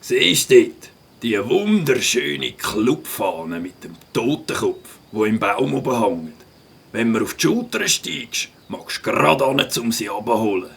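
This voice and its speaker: Guy acting a bossy biker critter from a kids radio play, swiss german, slow gravelly rasp. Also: mid-range voice, very animated, fairly bright tone, full character voice. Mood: coaxing. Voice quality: raspy, gravelly. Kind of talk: children's audio drama acting.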